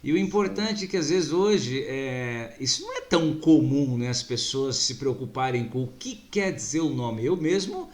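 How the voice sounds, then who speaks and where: A man's voice: mid-range voice, expressive, normal speed, few pauses. A man hosting an interview, chatty and full of enthusiastic interjections. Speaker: interview host. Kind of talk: casual interview.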